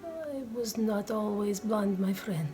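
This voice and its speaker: A woman playing a kindly, devout, blind old man in a radio play, reciting and teaching in a measured, gentle way. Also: medium pitch, over loud music, close to an everyday voice. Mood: gentle, reverent.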